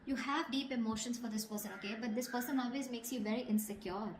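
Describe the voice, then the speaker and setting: A woman's voice: fairly high pitch, steady tone, fast talker. A woman giving an intuitive tarot reading straight to the viewer, conversational, often checking in with little tag questions. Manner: conversational, repeats tag questions like okay and you know. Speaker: tarot reader. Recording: echoey room, clear background noise, clicks.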